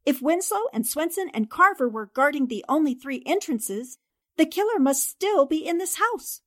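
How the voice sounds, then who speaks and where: A woman playing a sharp, commanding newspaper columnist who questions briskly in a 1940s-style radio drama. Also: sits high, lively intonation. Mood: insistent.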